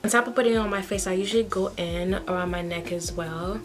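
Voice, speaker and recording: A young woman from Haiti, chatting casually through a get-ready-with-me skincare routine as a beauty vlogger, frank and quick to ask "okay?". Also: medium pitch, over loud music.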